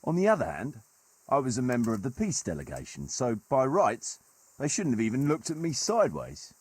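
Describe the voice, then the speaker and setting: An actor playing a gruff, wry medieval nobleman turned mercenary leader in a video game, telling stories in colloquial, old-fashioned turns of phrase with a husky voice. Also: medium pitch, wildly swooping pitch, fairly quick, a little mellow, dry recording. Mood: animated storytelling. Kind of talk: dramatic storytelling in a video game cutscene.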